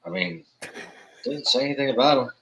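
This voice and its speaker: A man's voice: mid-range voice, lively intonation, brisk, fairly bright tone, rough recording. A forty-year-old man co-hosting a casual podcast, blunt and casually profane. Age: 40s. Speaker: podcast co-host. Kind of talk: casual chat.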